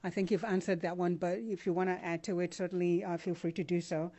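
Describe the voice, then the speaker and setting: A woman moderating a public forum, brisk and polite, reading out questions. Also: on the low side, fairly even intonation, mellow. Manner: brisk, polite, with frequent uh fillers.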